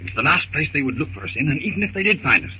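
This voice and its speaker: An actor playing a resolute, genteel gentleman adventurer in a 1930s radio serial. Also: on the high side, big pitch swings, racing, exaggerated voice. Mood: confident, hushed planning. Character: resolute, gentlemanly adventurer held captive aboard ship. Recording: muffled, hissy 1930s radio recording.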